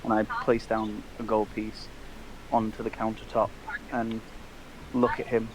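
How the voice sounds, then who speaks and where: A man's voice: lowish voice, monotone, measured pace, noisy background. A man talking casually as a player in a tabletop roleplaying game. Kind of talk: casual improvised roleplay chat.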